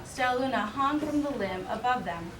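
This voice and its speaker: A woman teacher reading a picture book aloud expressively to a class, in an animated way. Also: on the high side, moderate pace. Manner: animated, acts out character dialogue. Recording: roomy, distant mic with background noise.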